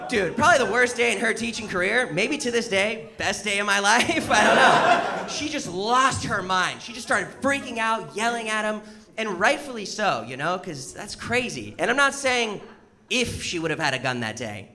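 Casting: American man, thirties, stand-up comic, high voice, conversational and animated, over very noisy live audience. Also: moderate pace. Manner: animated, conversational crowd work, acting out bits of dialogue. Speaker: stand-up comedian. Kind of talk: stand-up comedy, casual storytelling. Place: indoor comedy show on stage before an audience. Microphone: handheld microphone. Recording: live stage mic, loud audience noise. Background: audience laughter and noise.

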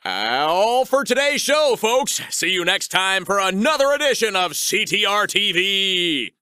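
A man's voice: high voice, very animated, a little slow, sharp tone, smooth, no room sound, clean studio sound, heavily acted. A man voicing an upbeat cartoon chicken who presents like a polished, showy TV sportscaster. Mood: showy cheer. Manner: polished, showy announcer delivery.

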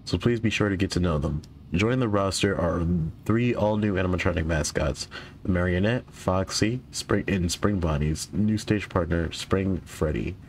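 A young man with a deep, low voice plays through a horror game. He reads in-game notes aloud with casual stumbles and self-corrections, and his voice is worn and giving out. A faint hiss runs under the recording. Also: normal speed. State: losing his voice. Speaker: gaming YouTuber.

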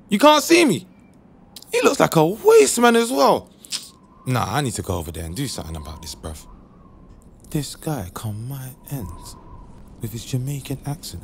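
A man's voice: very high-pitched, vocal fry, a bit bright, a little hiss or hum, exaggerated voice. A man playing a jealous, hot-headed young London street lad, slangy, indignant and confrontational in a comic dub.

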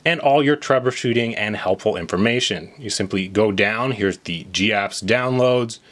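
A man's voice: lowish voice, normal speed, fairly bright tone. A man hosting a tech tutorial, narrating a step-by-step walkthrough in an explanatory, reassuring manner. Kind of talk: instructional screen walkthrough narration.

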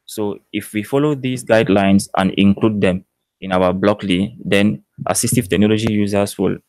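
A young man with a Cameroonian accent giving a technical conference presentation in a measured, explanatory way. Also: lowish voice, fairly even intonation, darkish tone, no room sound. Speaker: PhD student presenting research. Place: indoor presentation room.

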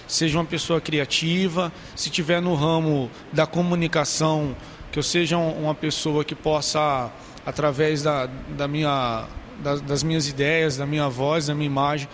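Gravelly young man in a classroom. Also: on the high side, fairly bright tone, slight background noise. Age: 20s. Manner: earnest, halting with repeated words. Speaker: interviewee, advertising student. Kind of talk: documentary interview. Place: empty classroom. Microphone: handheld mic.

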